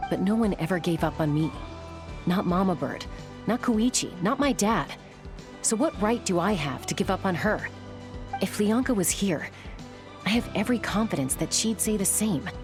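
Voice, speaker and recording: A woman's voice: medium pitch, natural voice. A woman playing a loyal, resolute young scout in a video game, frank, earnest and firm.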